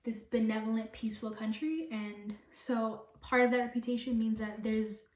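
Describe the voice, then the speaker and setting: Echoey, higher-voiced young Canadian woman. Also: steady tone, normal speed, a little mellow. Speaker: community project participant. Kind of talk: personal testimony. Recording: roomy echo, distant microphone.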